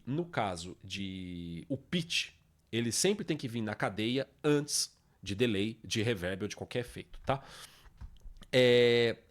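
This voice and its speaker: A Brazilian man presents a software tutorial in an informal, explanatory way. He goes step by step at a mid pitch, often punctuating his statements with short checking tags. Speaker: tutorial presenter, music production teacher.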